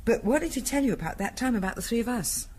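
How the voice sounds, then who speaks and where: An actress playing a tense, suspicious wife in a 1970s radio drama, pointed and insistent, repeating herself. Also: female voice, a little high, lively intonation, fast, darkish tone, slightly rough. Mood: agitated, insistent.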